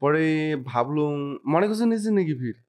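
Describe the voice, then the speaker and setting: A man's voice: fairly high pitch, lively intonation, normal speed, darkish tone. A young man with a North Bengal accent in a casual, teasing phone chat, often repeating himself as a YouTube prankster.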